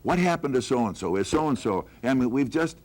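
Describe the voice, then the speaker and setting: A man's voice: medium pitch, lively intonation, normal speed, darkish tone. An older American man, a veteran broadcaster, reminiscing in a group conversation. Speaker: veteran radio announcer and hockey broadcaster.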